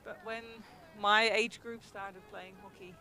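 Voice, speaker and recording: A woman's voice: mid-range voice, steady tone, a little slow. A young Dutch woman with a Dutch accent, chatting casually as a guest commentator at a hockey game, with lots of ums and laughs. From Netherlands. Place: outdoors at a field.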